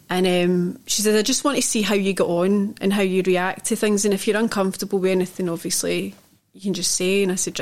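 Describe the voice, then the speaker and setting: Chatty Scottish woman telling stories. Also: medium pitch. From Scotland. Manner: chatty, rambling storyteller. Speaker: guest. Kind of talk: casual chat.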